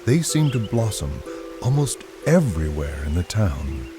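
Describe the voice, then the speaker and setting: An actor playing a calm, wistful storyteller in a game cutscene, speaking in a poetic, measured, theatrical way. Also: male voice, deep voice. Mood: wistful, admiring. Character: calm, wistful male storyteller describing a tranquil village. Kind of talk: scripted game cutscene narration. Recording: loud music behind the voice.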